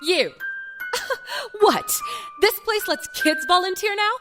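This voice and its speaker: A woman's voice: extremely high pitch, animated, over music, pitched above the performer's own voice, exaggerated voice. A woman playing an irritable, skeptical, defensive woman in an audio drama, with a blunt, impatient manner. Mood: irritated, skeptical. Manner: blunt, impatient, halting when cornered.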